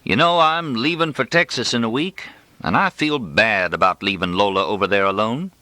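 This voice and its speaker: A man's voice: on the low side, normal speed, sharp tone, dry recording, pitched above the performer's own voice. A man voicing a big, folksy older doctor in an audiobook, a teasing neighbor with a drawling, familiar manner. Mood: serious, scheming. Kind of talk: audiobook character dialogue.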